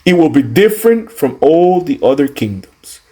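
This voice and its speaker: A man's voice: on the high side, very animated, moderate pace, mellow. A man reading scripture dramatically in a solemn, declamatory manner, stretching out phrases for emphasis. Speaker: student reader of scripture.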